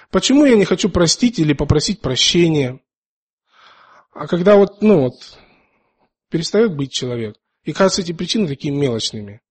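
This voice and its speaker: A male preacher with a husky voice pitched a little high. He sounds slightly under the weather and dry-mouthed, often clearing his throat. He speaks earnestly and informally, with hesitant pauses, in a sermon built around scripture reading. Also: darkish tone.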